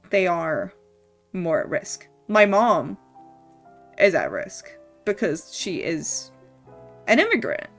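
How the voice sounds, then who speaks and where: A young woman with a mixed, mostly Canadian-sounding accent, talking slowly and loosely while she draws on stream. She rambles with frequent ums and small restarts and often laughs. Faint music plays behind her voice. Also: mid-range voice, a bit bright. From Scotland. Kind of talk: casual chat while drawing on stream.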